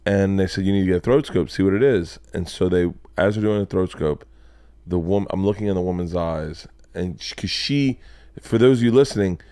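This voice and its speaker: Podcast host guy in his 40s, swears a lot, animated storytelling, dry close mic. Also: sits low, fairly quick, clear, resonant tone, no room sound. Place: studio lounge with leather couches. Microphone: boom mic on arm.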